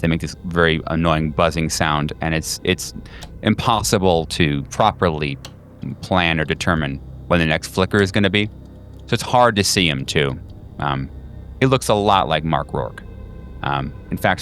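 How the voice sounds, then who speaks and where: A man narrating a tabletop horror game as game master, improvising in a conversational, halting, descriptive way. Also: sits low, a bit bright, a little hiss or hum.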